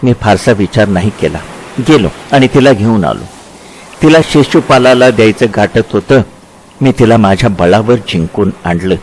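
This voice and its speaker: A man playing Krishna as a tender, reflective lover looking back in a dramatized literary dialogue, speaking with halting repetitions. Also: mid-range voice, darkish tone, slight background noise, low-quality recording. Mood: wistful recollection.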